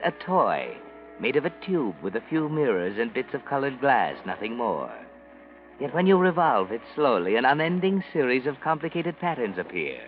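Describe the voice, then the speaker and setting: An actor playing a sinister, all-knowing storyteller in a 1940s radio mystery, narrating in an ominous, deliberate, dramatic way. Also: male voice, lowish voice. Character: sinister, omniscient male storyteller who walks by night. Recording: dull 1940s radio recording. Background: loud dramatic music.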